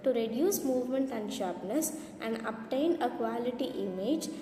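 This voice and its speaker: A woman's voice: a little high, lively intonation, normal speed, dark tone. A woman lecturer in radiography, reading a lecture carefully from notes with small slips. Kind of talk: read-out lecture. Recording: echoey room with long reverb, faint noise.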